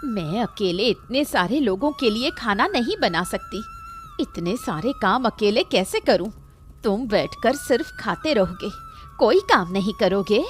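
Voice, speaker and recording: A woman's voice: fairly high pitch, huge pitch swings, a little slow, faint music behind. A woman voicing an anxious, stubborn, complaining housewife and mother in a Hindi cartoon story. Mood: fed up.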